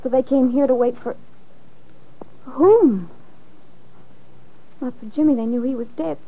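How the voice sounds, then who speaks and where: Worried, earnest woman in vintage radio thriller, higher register, slightly hoarse edge, muffled recording. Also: unhurried. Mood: anxious. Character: anxious, earnest married woman caught in crime. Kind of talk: 1940s radio drama acting.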